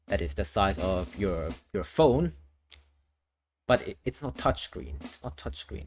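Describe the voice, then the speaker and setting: A man's voice: on the low side, slight background noise. A man from Thailand, a streamer explaining his worldbuilding lore in a casual, explanatory way, often restarting phrases.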